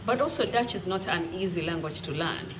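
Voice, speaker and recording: A woman's voice: lowish voice, expressive, a little fast, noisy background. A woman in her thirties from Kenya with a Kenyan accent, talking casually to camera at home and laughing often. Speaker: vlogger. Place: quiet home.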